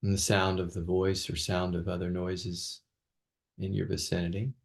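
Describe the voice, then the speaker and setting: A man leading an unscripted guided meditation as a teacher, speaking haltingly with long pauses and repeated words. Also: low voice, level tone, gravelly.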